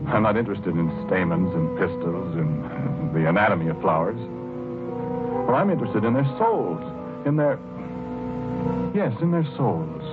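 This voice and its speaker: An actor playing a gentle husband obsessed with his flowers, speaking haltingly and earnestly in 1940s radio drama style on a muffled old recording. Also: sits low, very animated, loud music behind the voice. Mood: dreamy, earnest. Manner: halting, earnest, repeats phrases.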